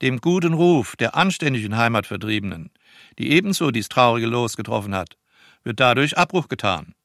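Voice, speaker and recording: An actor playing a stern, officious functionary reading out a formal bureaucratic report. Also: male voice, medium pitch, lively intonation, brisk, fairly bright tone, dry recording, studio quality. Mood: officious, disapproving.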